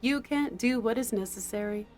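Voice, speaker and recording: A menacing female supervillain played by an actress in an audio drama, with a fairly high voice. She taunts and talks down to her foe with open contempt. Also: normal speed, darkish tone, faint music behind, close to an everyday voice. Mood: scornful. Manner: taunting, condescending. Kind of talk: scripted audio drama acting.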